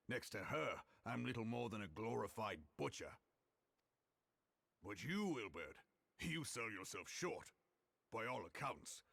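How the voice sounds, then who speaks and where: A male actor playing a proud, gruff middle-aged warrior general in a video game, blunt and stately. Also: lowish voice, a bit bright, no room sound, exaggerated voice.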